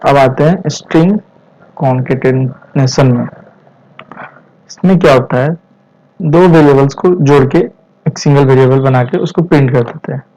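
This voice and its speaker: A man in his twenties with an Indian accent explains step by step as a tutorial instructor, in halting short phrases mixed with English terms. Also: medium pitch, warm tone. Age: 20s. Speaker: programming instructor. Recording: dull, lo-fi voice recording.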